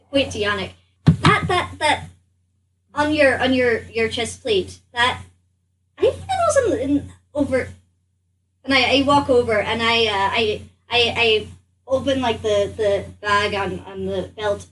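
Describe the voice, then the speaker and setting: Woman, high voice, flustered, stammering, roleplaying game player, a bit far from mic, faint noise. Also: a bit bright. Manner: flustered, stammering, repeating herself. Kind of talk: improvised in-character game chat.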